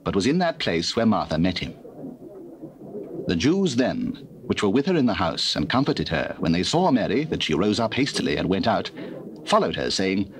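A man with a British accent reading dramatized scripture as narrator, measured and solemn. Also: deep voice, very animated. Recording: hissy old cassette recording.

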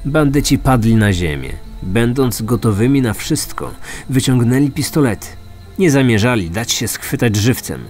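A man narrating a scripted true crime story as host, in a measured, serious manner. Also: medium pitch, animated, darkish tone. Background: music.

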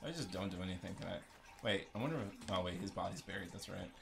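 A man with a creaky voice giving casual, joking video game commentary, talking to himself as he plays. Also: low-pitched, fast, noisy background.

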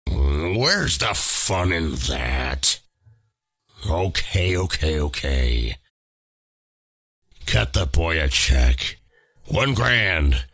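A bossy middle-aged newspaper editor from a comic, very low and raspy. He barks and blusters at everyone, swinging widely in pitch, with a slow, dismissive drawl between outbursts. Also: big pitch swings, a bit bright, no room sound, clean studio sound, full character voice. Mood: gruff, dismissive. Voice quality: gravelly. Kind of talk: motion comic voice acting.

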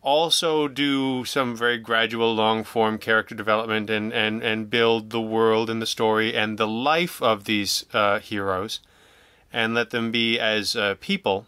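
A man with a bright, forceful voice in a very dry recording. He chats casually as an interview guest, feeling his way haltingly through digressions and self-interruptions. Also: mid-range voice, clear, resonant tone, no room sound. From Canada. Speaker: podcast guest, audio drama writer and producer.

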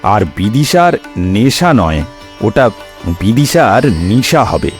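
A man tells a comic cartoon story. He speaks slowly and wryly, with theatrical swings in pitch. Faint music sits behind the voice. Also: very animated. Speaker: storyteller host. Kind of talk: scripted comic cartoon narration.

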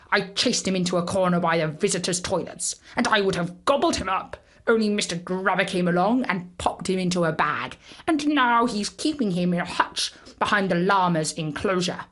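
A woman's voice: fairly low pitch, fairly bright tone. A woman voicing a squat, dim male bulldog who chatters boastfully and hungrily in a children's story. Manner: boastful, simple-minded chatter.

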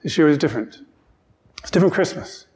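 A man's voice: a little high, expressive, darkish tone, big-room sound. A middle-aged man with a Newfoundland accent, a minister giving an informal, joking talk from the pulpit of a church sanctuary. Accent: Newfoundland English. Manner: chatty, joking, quick asides. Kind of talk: informal children's-time sermon.

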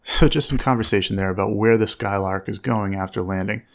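A man giving measured, instructive commentary on air traffic audio as a narrator. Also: lowish voice, fairly bright tone.

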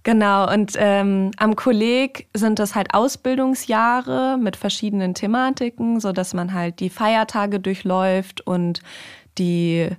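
A woman's voice: medium pitch, steady tone, a little slow, clear, resonant tone. A young woman talking casually and informally as a podcast guest, explaining things in conversation. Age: young adult. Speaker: podcast guest, rabbinical trainee.

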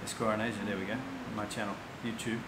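A man's voice: fairly low pitch, flat delivery, fast. A man, chatting and teasing as a vlogger while getting a haircut, filmed on a camera held at arm's length. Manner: teasing, chatty, laughs often. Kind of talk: casual chat. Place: busy barber shop, indoors. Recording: noisy shop background.

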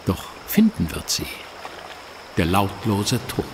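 A man's voice: lowish voice, wildly swooping pitch, takes it slowly, background noise. A man narrating an audio drama from a script, as a storyteller setting the scene. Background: music.

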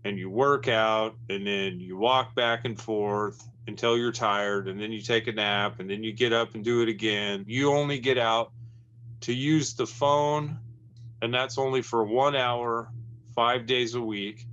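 A man in his forties with a mid-pitched voice, talking in a plain, conversational way. The audio is a little dull with a faint hiss, from a webcam. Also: fairly even intonation, unhurried, a bit bright. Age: 40s. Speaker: formerly incarcerated interviewee. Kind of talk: testimony on a video call. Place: dark room with red backdrop. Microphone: webcam on a video call.

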